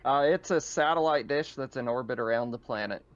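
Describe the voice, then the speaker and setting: A man narrating a tabletop game as game master, feeling out his phrasing haltingly as he improvises. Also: medium pitch, dull audio, slight background noise.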